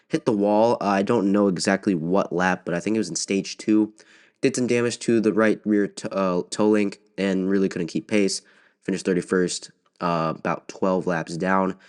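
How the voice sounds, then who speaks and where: A man's voice: on the low side, smooth. A young man with a cold, sniffling, hosting a casual solo race recap podcast with many fillers and restarts. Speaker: podcast host.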